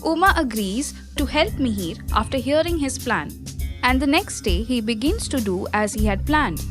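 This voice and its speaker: A woman narrating a scripted moral story for listeners. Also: on the high side.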